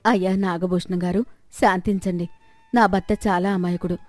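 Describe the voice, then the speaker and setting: A woman playing a clever, confident village wife, calm and reassuring, in a dramatized moral story. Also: on the low side, moderate pace, dry recording, faint music behind, natural voice. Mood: composed, persuasive. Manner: reassuring, composed.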